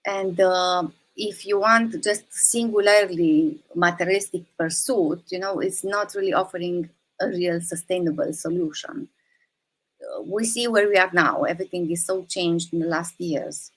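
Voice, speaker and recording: A woman's voice: on the low side, normal speed, rough recording. A woman from Romania, giving an earnest, thoughtful explanatory talk full of "uh" and "um" and restarts. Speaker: guest speaker, teacher of quantum activism. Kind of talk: explanatory talk in an online session.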